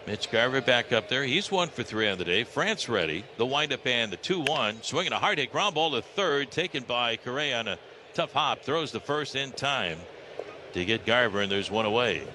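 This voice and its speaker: An American man calling a live baseball game on the radio, in clipped descriptive phrases with some drawn-out calls. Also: mid-range voice, lively intonation. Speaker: baseball radio play-by-play announcer. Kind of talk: live play-by-play commentary. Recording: radio broadcast with clear background noise.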